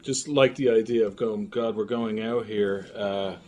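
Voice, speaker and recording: A man's voice: on the low side, steady tone, unhurried. A man talking casually and reflectively as a guest in an interview. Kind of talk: casual conversation. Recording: faint room noise and ambience.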